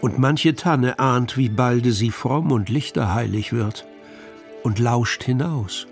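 A man reciting poems in a measured, expressive way for a children's radio program, recorded on a studio microphone. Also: mid-range voice, soft background music. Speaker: poetry reader.

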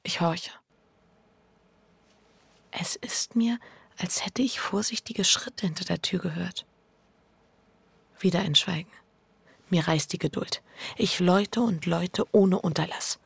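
An actress playing an anxious, guilt-ridden wife narrating a radio drama in an intimate, breathy, introspective way. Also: female voice, on the low side, heavily acted. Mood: restless, agitated. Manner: introspective, hushed inner monologue with halting dialogue. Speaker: lead actor and first-person narrator.

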